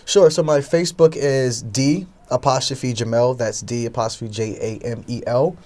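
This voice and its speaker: A young man giving conversational answers as an interview guest. His voice sits at an average pitch for a man. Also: fairly even intonation, normal speed, a little mellow. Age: young adult. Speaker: talk show guest, entrepreneur.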